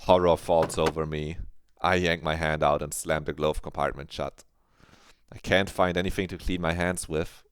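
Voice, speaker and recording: Deep-voiced man reading a visual novel. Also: steady tone, normal speed, a little hiss or hum. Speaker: streamer.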